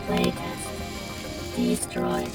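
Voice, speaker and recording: An actor voicing a small prototyping robot that speaks in clipped, commanding single words with a deep robotic voice. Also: monotone, extremely slow, exaggerated voice. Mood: terse, commanding. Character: small prototyping robot, terse, commanding, turned heroic leader. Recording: echoey, distant voice under loud music.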